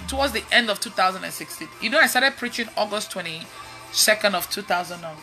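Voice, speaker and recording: A woman in her thirties with a Nigerian accent, preaching casually online in an animated, emphatic way and repeating phrases. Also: mid-range voice, fairly quick, soft background music, low-quality recording. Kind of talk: casual testimony-style preaching on a livestream. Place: indoors in a room at home.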